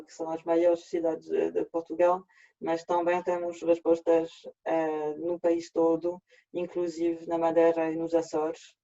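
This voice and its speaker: Halting man with a French accent, flat and dark. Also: fairly high pitch. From France. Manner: halting, frequent restarts. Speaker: workshop presenter. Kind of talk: online presentation. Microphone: video call. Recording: dull lo-fi video call audio.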